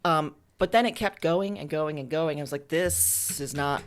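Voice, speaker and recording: A woman with a low voice speaks as an author being interviewed. She talks casually and conversationally, piecing her thoughts together in short phrases as she explains herself. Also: moderate pace.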